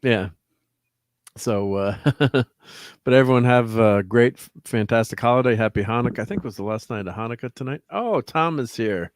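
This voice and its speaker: A man's voice: medium pitch, animated, moderate pace, dry recording, clean studio sound. A man hosting a casual livestream, rambling through chat names and laughing often. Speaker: host.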